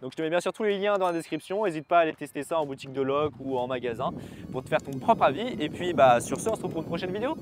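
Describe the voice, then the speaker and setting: A man's voice: fairly high pitch, animated, racing, noisy background. A man giving a casual gear review to viewers in an informal, slangy, chatty way. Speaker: host of a video gear review vlog.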